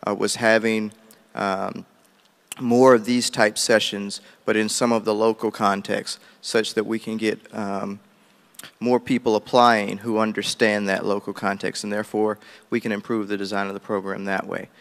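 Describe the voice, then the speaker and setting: A man's voice: on the low side, level tone, normal speed, creaky. A man reporting his group's points to a meeting, matter-of-factly and item by item. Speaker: workshop group spokesperson. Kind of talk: informal spoken report to a meeting.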